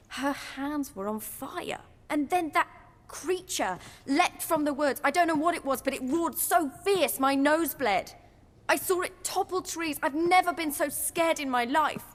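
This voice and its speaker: Bitter young british woman, game character, highish voice, long echoey reverb. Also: measured pace. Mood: shaken, distressed. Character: bitter, defiant young woman estranged from her father. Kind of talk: dramatic video game acting.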